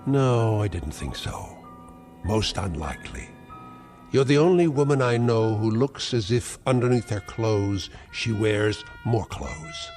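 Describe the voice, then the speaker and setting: A sneering old scientist uncle. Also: male voice, lowish voice, lively intonation, slow pace, darkish tone, soft background music. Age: older. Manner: sneering, mocking, theatrical taunts. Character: cruel sarcastic elderly male scientist uncle. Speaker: domineering uncle. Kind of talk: 1960s TV drama acting.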